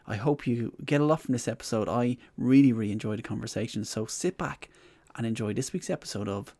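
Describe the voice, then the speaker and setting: A man's voice: mid-range voice, brisk, no echo. A man hosting a podcast, introducing his guest in an effusive, enthusiastic way. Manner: warm, effusive, enthusiastic welcomes. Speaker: podcast host.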